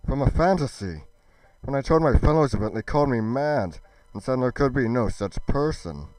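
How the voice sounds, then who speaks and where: Man playing an earnest, clear-voiced young guard. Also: medium pitch, expressive, moderate pace, darkish tone, no room sound, recorded from a distance, soft background music, close to an everyday voice, low-quality recording. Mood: awed, earnest. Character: earnest, devoted young male guard and visionary.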